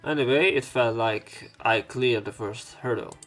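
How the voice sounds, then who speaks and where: A man's voice: medium pitch, unhurried, fairly bright tone, faint music behind. A man reading a visual novel aloud as a let's play host, adding informal, sweary commentary.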